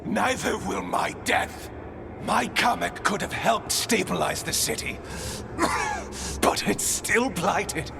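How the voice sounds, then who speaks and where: An actor voicing a defiant, embittered corrupt governor who is blighted and dying, in a theatrical video game performance full of bitter shouting. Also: male voice, high-pitched, very animated, slow, gravelly, background noise, full character voice. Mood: bitter despair.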